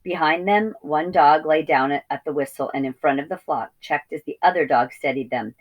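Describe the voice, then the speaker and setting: A woman with a notably low voice narrates a children's story. She reads expressively, as a teacher would for young listeners. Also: moderate pace, dull audio. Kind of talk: expressive children's read-aloud.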